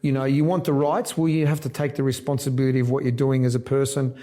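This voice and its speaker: A man's voice: mid-range voice, little pitch movement, fast talker, mellow. A middle-aged man from Argentina, a politician talking casually and emphatically, often breaking off and restarting words. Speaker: politician guest.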